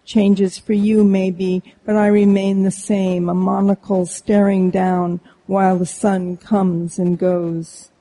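A woman voicing a glum, world-weary moon that talks itself down in a slow, mournful way. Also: medium pitch, little pitch movement, warm tone, low-quality recording.

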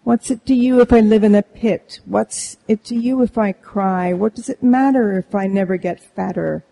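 A woman's voice: mid-range voice, gravelly, mellow, rough recording. A woman voicing a tiny unseen bug who chants a sulky rhyming ditty.

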